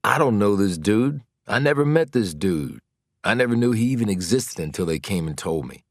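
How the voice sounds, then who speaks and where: A man's voice: on the low side, big pitch swings, moderate pace, no echo, clean studio sound, pitched above the performer's own voice. A man voicing a bitter, wrongly convicted former football star in an audiobook, blunt, slangy and frustrated. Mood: defensive. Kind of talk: dramatic audiobook character dialogue.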